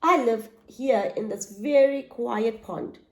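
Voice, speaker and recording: A woman voicing a frog from a pond who croaks as it talks. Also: a little high, slow pace. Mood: blunt. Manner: croaks ribbit.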